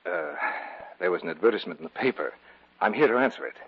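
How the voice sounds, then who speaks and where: Troubled obsessed man in old radio horror play, lowish voice, big swings, hesitant, intense, dull lo-fi sound. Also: big pitch swings, fast talker, creaky, slight background noise. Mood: nervous, polite. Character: troubled, haunted young man, outwardly polite, secretly obsessed. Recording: muffled old radio recording with music.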